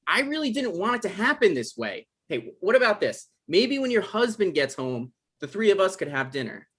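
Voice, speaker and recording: A man's voice: high-pitched, sing-song, fairly quick, fairly bright tone, breathy edge, full character voice. A man acts out an awkward male novelist in his thirties. He is evasive and apologetic, with hesitant, stammering delivery. The comedy is played naturally, as in a table read. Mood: defensive. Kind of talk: naturalistic comedic dialogue reading. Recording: online live-stream table read.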